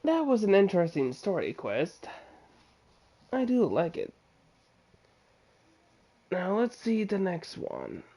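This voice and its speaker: Relaxed streamer thinking aloud. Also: medium pitch, takes it slowly, long pauses. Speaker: game streamer. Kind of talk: casual live gameplay commentary. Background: game music.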